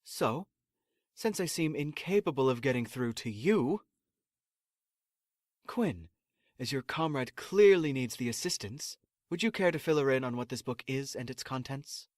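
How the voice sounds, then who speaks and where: A man's voice: medium pitch, lively intonation, measured pace, no echo, studio quality, lightly acted. A man playing an exasperated, officious assistant in a sci-fi comedy audio drama, formal, sarcastic and condescending. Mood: sarcastic, impatient. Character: exasperated, officious male assistant prepping space recruits.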